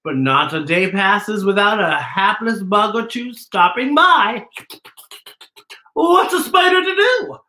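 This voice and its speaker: A sly, boastful storybook spider, played by a man, speaks with grand mock politeness. The voice is high and bright, swooping through arch, drawn-out phrases. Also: lively intonation, echoey room, full character voice. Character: sly, boastful, theatrical predatory spider trickster. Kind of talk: storybook character reading.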